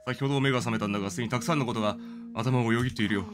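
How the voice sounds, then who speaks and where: A man's voice: mid-range voice, rapid-fire, faint music behind, pitched below the performer's own voice, lightly acted. A man voicing a fretful, self-important middle-aged troll father from a storybook game, talking in a formal, fatherly way. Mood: fretful, pompous.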